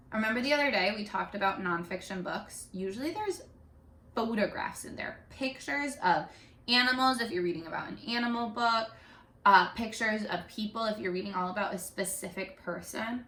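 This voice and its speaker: Bright-voiced woman teaching young children. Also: mid-range voice. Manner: animated, encouraging, asks questions and pauses for answers. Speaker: primary school teacher. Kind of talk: interactive read-aloud lesson for children. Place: living room at home. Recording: roomy home echo.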